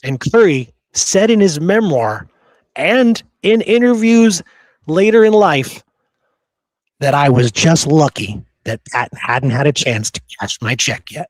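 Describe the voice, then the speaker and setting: A man's voice: on the high side, sing-song, measured pace, no echo. A man hosting a podcast, talking casually about history in an emphatic, opinionated, rambling storytelling way. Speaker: podcast host.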